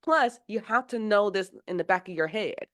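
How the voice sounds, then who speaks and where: A woman's voice: medium pitch, expressive, moderate pace. A woman teaching a live mentoring session while getting over a cold, explaining in a casual, rambling way with many fillers and restarts. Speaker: software engineering mentor.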